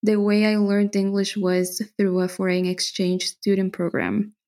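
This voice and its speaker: A woman from Bolivia, a podcast guest, talking in a relaxed, chatty way. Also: mid-range voice, measured pace. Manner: relaxed, chatty, with frequent fillers and soft laughs. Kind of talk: casual interview chat.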